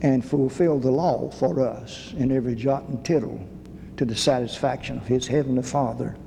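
A man leading a church service, speaking slowly with a low voice and old-fashioned scriptural phrasing. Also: gravelly, warm tone, big-room sound, a little hiss or hum. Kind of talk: slow church sermon and prayer.

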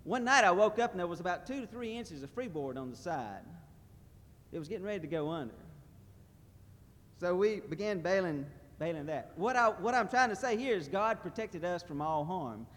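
Folksy man preaching a testimony, high bright voice that swoops, long hall echo. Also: moderate pace. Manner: folksy, animated, addresses crowd. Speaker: mission trip speaker. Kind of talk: church testimony. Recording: reverberant hall.

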